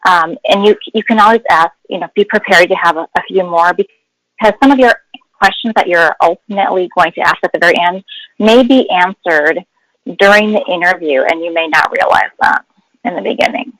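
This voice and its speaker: A woman's voice: lowish voice, a little fast, fairly bright tone, no room sound, low-quality recording. A woman speaking as a guest HR expert, explaining in an instructive webinar style with emphasis on key words.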